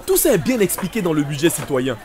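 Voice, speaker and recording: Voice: mid-range voice, big pitch swings, fast, music in the background, natural voice. A performer playing a friendly, patient guide who explains things in simple everyday words in an educational cartoon. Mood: patient, explanatory. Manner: didactic, simple everyday wording. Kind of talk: animated educational film, explanatory dialogue.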